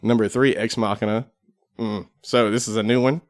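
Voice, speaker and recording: A man's voice: lowish voice, steady tone, normal speed, no room sound, studio quality. A man speaking as a podcast guest in casual, conversational chat.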